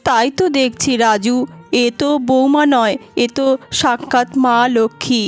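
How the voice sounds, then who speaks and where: A woman's voice: on the high side, fairly even intonation, moderate pace, natural voice. A woman playing an anxious, scolding older mother-in-law in a melodramatic cartoon, with dramatic, lamenting delivery. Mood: remorseful. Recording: music behind the voice.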